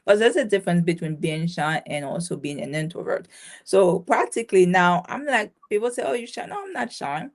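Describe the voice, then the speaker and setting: A woman's voice: on the low side, lively intonation, a little fast. A woman born in Haiti, a business owner talking casually in an interview, with many restarts and a ready laugh. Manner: chatty, many restarts and fillers, laughs easily. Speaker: guest, caregiving business owner.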